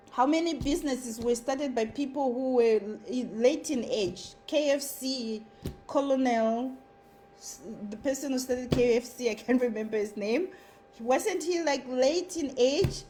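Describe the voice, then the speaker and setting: Woman, Zimbabwean accent, high voice, motivational talk, emphatic repetition, faint background hiss. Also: a little mellow. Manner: earnest and emphatic, repeating phrases for stress. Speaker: content creator.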